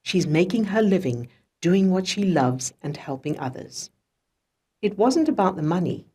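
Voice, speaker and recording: A woman narrating a self-help audiobook as its author, in a measured, instructional way with deliberate phrasing. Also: lowish voice, very animated, mellow, slightly rough. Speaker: author reading own audiobook.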